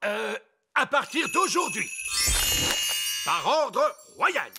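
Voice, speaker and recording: Pompous, excitable cartoon king. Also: very high-pitched, slow, vocal fry, loud music behind the voice, full character voice. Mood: stubborn. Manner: pompous, stubborn, petulant. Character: pompous childish elf-world king, father, keen amateur birdwatcher. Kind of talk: French cartoon dub acting.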